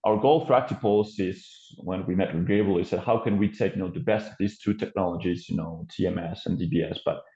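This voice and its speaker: A man with a French accent gives a presentation over a video call, pausing often on fillers and restarting his sentences. His voice sits low and mellow. The audio is dull and muffled, with a roomy echo. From France. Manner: hesitant, many fillers and restarts. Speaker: company co-founder presenting.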